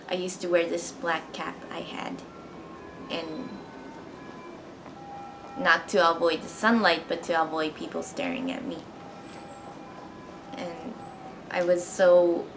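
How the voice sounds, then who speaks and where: Soft-spoken young woman narrating. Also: lowish voice, poor audio. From Japan. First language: Japanese. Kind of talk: personal documentary narration. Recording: narration over clear music.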